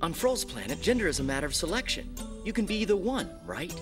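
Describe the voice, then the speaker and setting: Lively, inquisitive young crewman. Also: high voice, fast talker. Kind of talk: English anime dub acting. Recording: music behind the dialogue.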